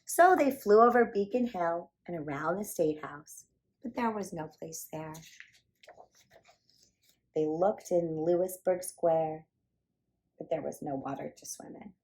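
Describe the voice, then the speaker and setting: Expressive woman reading a picture book aloud. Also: lowish voice, a little slow, long pauses. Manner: animated, emphatic storytelling for young children. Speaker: children's storybook reader.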